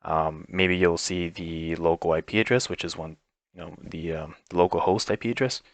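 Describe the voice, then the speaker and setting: Very low-voiced man giving tech tutorial. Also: steady tone, normal speed, no echo. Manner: informal, explanatory. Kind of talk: step-by-step software walkthrough, unscripted.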